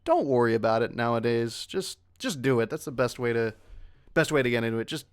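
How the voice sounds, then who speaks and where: Male panelist, mid-pitched and clean-voiced, talkative and encouraging, casual delivery, close dry sound. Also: expressive, a little fast, clean tone, no echo. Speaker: voice actor on an online convention panel.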